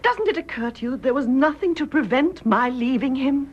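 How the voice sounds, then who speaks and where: A young British wife, proud and well-bred, with a high voice that swings widely with emotion. It is breathy radio drama acting on a dull recording. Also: female voice, lively intonation, a little fast. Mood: incredulous protest.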